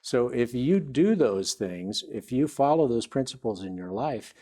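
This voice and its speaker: A man's voice: mid-range voice, very animated, a little slow, dark tone. A man who is a guest on a talk show, explaining his ideas in an interview.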